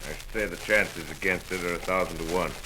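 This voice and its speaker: An actor playing a measured, skeptical middle-aged astronomer in a 1930s radio drama. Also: male voice, sits low, steady tone, natural voice. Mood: calm. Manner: measured, dry, dismissive. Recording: noisy old 1930s radio broadcast.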